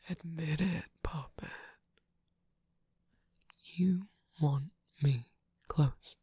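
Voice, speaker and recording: Performer acting out smug weary trickster villain, very husky and whispery, slow teasing drawl, mock-grand, close dry sound, ASMR game-character roleplay. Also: mid-range voice, mellow, no echo, exaggerated voice. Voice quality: breathy, husky, creaky, often dropping into whisper.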